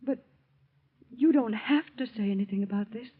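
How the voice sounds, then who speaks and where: A woman's voice: fairly high pitch, moderate pace, husky. A woman playing an indignant, cornered society stepmother in an old-time radio drama, with a dramatic delivery. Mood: shocked. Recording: tinny muffled old radio recording.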